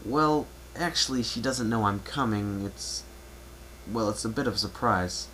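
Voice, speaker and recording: A man's voice: fairly low pitch, a little slow, pushed and bright. A man playing a young male pony traveler who talks in a polite, casual, slightly hesitant and reserved way. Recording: noisy amateur home recording.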